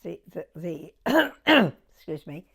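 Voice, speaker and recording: Slow-talking elderly English woman, halting. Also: fairly low pitch, sing-song, creaky, fairly bright tone, breathy edge. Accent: southern English. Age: 90s. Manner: hesitant, halting, repeating words as she recalls. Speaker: oral history interviewee. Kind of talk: reminiscing in an interview.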